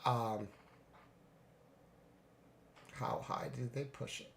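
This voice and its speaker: A man getting over a cold, a little congested, narrating his live trades in a relaxed way with many fillers. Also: mid-range voice, very slow, gravelly, echoey room, slight background noise. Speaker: futures day trader streaming live. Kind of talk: live trading commentary.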